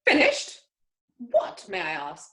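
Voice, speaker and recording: A woman's voice: medium pitch, wildly swooping pitch, slow, fairly bright tone, full character voice. A woman playing an imperious, disapproving upper-class mother in an amateur play read-through, haughty and commanding, over a video call. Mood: scandalized, stern.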